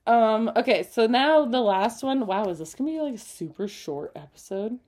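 A young adult woman co-hosting a casual couple's chat, bubbly and quick to laugh or gasp in surprise. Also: on the high side, expressive, a little mellow. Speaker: podcast co-host.

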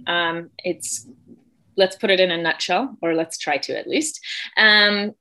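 A woman's voice: lowish voice, measured pace, pushed and bright. A woman from Germany, a teacher telling a story as a podcast guest in a chatty way, with lots of fillers and self-corrections. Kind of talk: casual storytelling.

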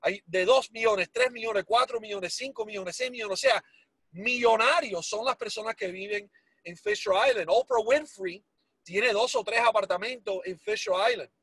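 A man in his forties with a Cuban Spanish accent and a high, husky voice, telling his story with animated, self-mocking humor. English words slip into his Spanish, and the sound is close and dry. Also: moderate pace, no echo. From Miami, United States. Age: 40s. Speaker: guest. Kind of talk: casual storytelling interview.

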